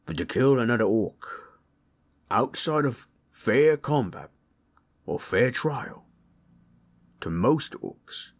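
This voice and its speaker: Man voicing a deep, husky, slow-talking gentle orc. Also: full character voice. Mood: earnest, fumbling. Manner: unhurried, rustic ungrammatical speech. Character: gentle, comforting male orc, rough-spoken old-lore storyteller. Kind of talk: intimate roleplay audio, slow soothing storytelling.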